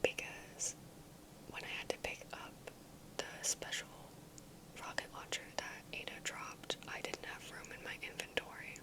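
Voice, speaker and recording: Softly whispering woman, ASMR style. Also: unhurried, poor audio. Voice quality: breathy unvoiced whisper. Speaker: ASMR gaming video creator. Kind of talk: whispered ASMR talk reflecting on a video game.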